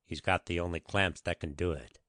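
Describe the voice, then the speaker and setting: An actor playing a shrewd, confident veteran detective with a gruff, assured manner in a dramatic audiobook reading. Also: male voice, sits low, fairly even intonation, fairly quick, a bit bright, no echo, clean studio sound. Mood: assured, determined.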